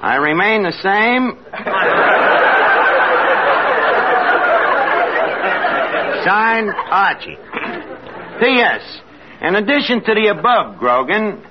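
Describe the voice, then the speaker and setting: Brash new york barkeep guy, highish animated voice, wisecracks, bad grammar, crackly muffled 40s radio comedy. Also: measured pace, over a noisy crowd. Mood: pompous indignation. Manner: wisecracking, mangles grammar and fancy words. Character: brash lowbrow tavern manager with pretensions to refinement. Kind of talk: 1940s radio comedy acting. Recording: muffled noisy old radio recording, audience.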